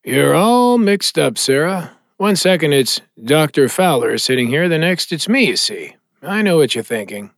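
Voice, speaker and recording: A man's voice: a little high, big pitch swings, moderate pace, hardly any pauses, fairly bright tone, no room sound, studio quality, full character voice. A man playing a hallucinated dead man who needles and mocks in a blunt, sardonic way in an audio drama. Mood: smug, mocking. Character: taunting hallucinated dead man, mocking and controlling.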